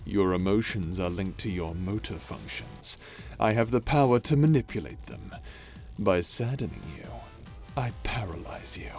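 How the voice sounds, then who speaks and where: A man playing a sinister, taunting space pirate villain in an audio roleplay, talking slowly with menace and mockery. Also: sits low, big pitch swings, vocal fry, a little mellow, faint music behind, exaggerated voice. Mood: cold, gloating. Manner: slow, menacing, mocking. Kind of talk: ASMR audio roleplay drama.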